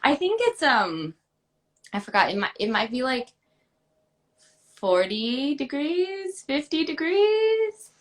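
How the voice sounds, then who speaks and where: Young texan woman, giggly, chatty, video call sound, pretty high voice. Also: sing-song, slow pace, long pauses. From Houston, Texas. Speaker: guest actor. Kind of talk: casual interview chat.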